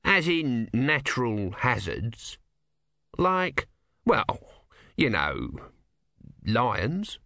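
A man's voice: mid-range voice, wildly swooping pitch, drawn-out words, pauses often, sharp tone, no echo, clean studio sound, exaggerated voice. A man voicing a bossy, cynical small creature who is sardonic, evasive and pragmatic in an audiobook story.

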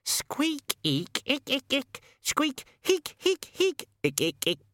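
A man voicing a tiny, excitable rat spirit that speaks only in insistent wordless squeaks. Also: takes it slowly, no room sound, heavily acted. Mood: excited.

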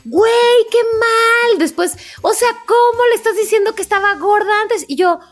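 A woman's voice: extremely high pitch, moderate pace, fairly bright tone, faint music behind. A woman with a Mexican accent co-hosting a casual podcast, telling stories colloquially and quoting people as she goes. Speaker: podcast co-host. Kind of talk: casual chat.

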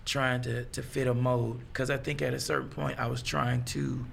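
A man in his thirties, a songwriter talking as a guest in a casual interview. He speaks reflectively and haltingly, often restarting his sentences. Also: mid-range voice, flat delivery, creaky, a bit bright, slight background noise. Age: 30s.

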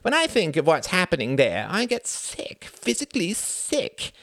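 Guy doing a frazzled old politician, high and whiny, snappy, dead dry sound. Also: wildly swooping pitch, moderate pace, bright, forceful tone, no echo, full character voice. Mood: moaning. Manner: moaning, high-strung, snappish. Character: weary, exasperated older male politician.

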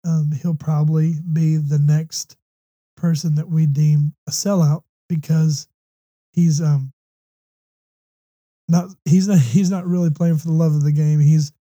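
A hoarse, slightly congested man from the United States hosting a faith talk show, speaking earnestly with many ums and restarts. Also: fairly high pitch, fairly even intonation, measured pace, warm tone. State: hoarse with a runny nose. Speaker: podcast host.

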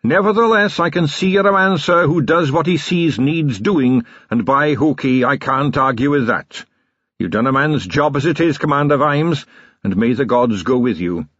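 A man's voice: fairly high pitch, animated, normal speed, fairly bright tone, no echo, dull audio, voice pushed up. A male narrator voicing a nervous older riverboat captain in an audiobook, speaking in an anxious, pleading way.

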